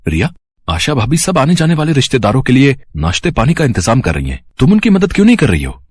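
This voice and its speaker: Young husband role, man acting, husky, animated intonation, chiding, for a cartoon family tale. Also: mid-range voice, quick pace, no room sound, faint music behind. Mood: urging. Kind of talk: animated moral story character dialogue.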